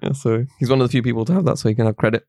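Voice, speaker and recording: Young man, quick rambling storyteller, mid pitch, dry recording. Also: mellow, no echo. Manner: rambling, lots of fillers and laughs. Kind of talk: casual chat among friends.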